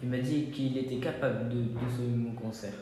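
A man's voice: mid-range voice, fairly even intonation, a little fast, warm tone, very echoey, long reverb tail, a little hiss or hum, lightly acted. A man playing a masked male antihero, answering hesitantly with fillers and restarts.

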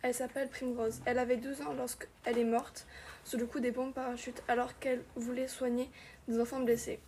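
A woman's voice: fairly high pitch, little pitch movement, a little fast, dark tone, slight background noise, close to an everyday voice. A woman playing a young archer heroine, answering earnestly and plainly.

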